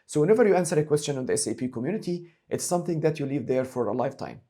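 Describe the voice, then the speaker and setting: A man narrating a screen-share software tutorial as an instructor, explaining each step methodically and repeating key points. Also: on the high side, lively intonation, rapid-fire.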